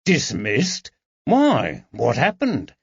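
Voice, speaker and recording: A man voicing a patient police constable who asks his questions gently and coaxingly. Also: medium pitch, wildly swooping pitch, drawn-out words, gravelly, breathy edge, dry recording, heavily acted. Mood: gentle. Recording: dull, dry audiobook recording.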